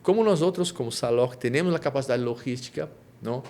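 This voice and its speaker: A man's voice: medium pitch, a little fast, warm tone. Brazilian-born man with a Lima accent. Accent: Lima Spanish. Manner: explanatory, frequent tag questions and restarts. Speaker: company CEO, interview guest. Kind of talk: conversational interview.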